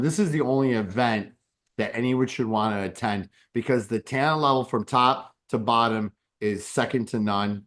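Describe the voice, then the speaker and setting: A man's voice: mid-range voice, a bit bright. A man hosting a casual MMA podcast interview who asks long, hyped-up questions and often stumbles and repeats words. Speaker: podcast host.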